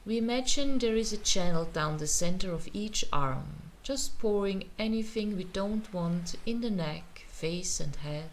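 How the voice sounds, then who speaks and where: A woman teaching meditation, guiding a relaxation in a gentle, soothing manner with pauses. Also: on the low side. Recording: noticeable ambient background noise.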